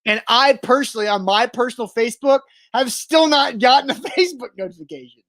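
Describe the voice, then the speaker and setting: A man's voice: very high-pitched, brisk, a bit bright, dry recording. A man co-hosting a sports talk show, matter-of-fact and teasing, laying out his points with pedantic precision. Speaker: sports radio co-host. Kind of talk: casual sports talk banter.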